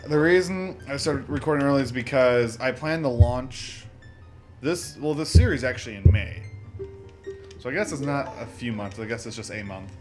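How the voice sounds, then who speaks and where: A man's voice: mid-range voice, animated, normal speed. A man hosting a let's play with casual, rambling gameplay commentary, often interrupting himself with joking asides. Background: video game music.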